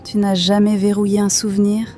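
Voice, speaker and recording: A calm, wise woman confidant, played by a woman with a dark, mellow tone. She speaks in a gentle, probing way over faint music. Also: mid-range voice, fairly quick, close to an everyday voice. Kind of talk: scripted audio drama.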